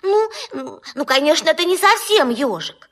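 A woman's voice: sits high, sing-song, moderate pace, a bit bright. A woman playing a small, sweet, cheerful childlike creature in a children's audio play. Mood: eager, insistent.

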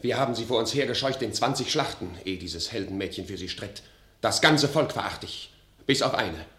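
A man's voice: on the low side, lively intonation, fairly quick, a bit bright, reverberant. A man playing a proud, passionate, scornful commander in a classical radio drama, declaiming emphatically. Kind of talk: classical German stage-style radio drama.

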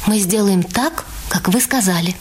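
A woman playing a brisk, practical and teasing young woman in a radio drama. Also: medium pitch, close to an everyday voice. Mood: brisk, puzzled.

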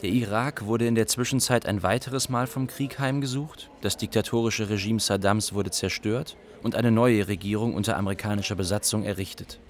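An actor playing a sober chronicler, giving formal, detached report-like narration in a radio drama. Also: male voice, on the low side, a little fast, few pauses, lightly acted. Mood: grave report. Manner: factual, detached.

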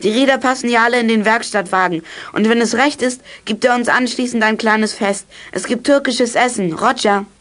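A woman's voice: fairly high pitch, normal speed, few pauses, fairly bright tone, lightly acted. A woman playing an eager child detective who leads a club in a youth radio play. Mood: brisk, businesslike.

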